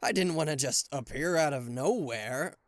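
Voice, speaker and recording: A man playing a cocky, playful and easily flustered king of hell as an affectionate boyfriend in an ASMR roleplay, with theatrical teasing pauses and stammers. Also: on the high side, expressive, no echo, clean studio sound, natural voice. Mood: indignant, boastful. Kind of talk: ASMR roleplay addressed to the listener.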